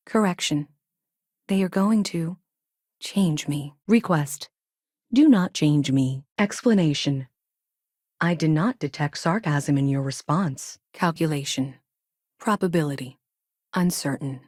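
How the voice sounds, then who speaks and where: A woman voicing a calm, literal, childlike female artificial intelligence in a video game, speaking in stilted, deliberate, clipped statements with an emotionless delivery. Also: deep voice, dry recording, clean studio sound. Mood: detached, uncertain. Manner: stilted, deliberate, prefacing statements with one-word labels.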